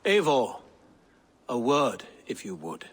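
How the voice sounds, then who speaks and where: A dry-witted middle-aged nobleman from a historical video game. His husky voice is measured and wry, ringing with long hall reverb. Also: medium pitch, huge pitch swings, exaggerated voice. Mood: wry, patient. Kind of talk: video game cutscene acting.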